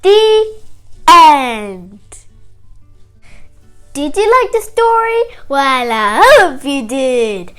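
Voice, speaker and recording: High-pitched, lively girlish storyteller. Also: soft background music. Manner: halting, simple childlike phrasing. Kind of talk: animated retelling of a nursery fairy tale.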